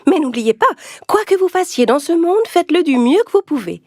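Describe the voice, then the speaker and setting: A woman voicing a caring mother pig who gently advises her young in a children's story. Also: high voice, very animated, fairly quick, dry recording, studio quality, voice pushed up. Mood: tender, advising. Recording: music behind the voice.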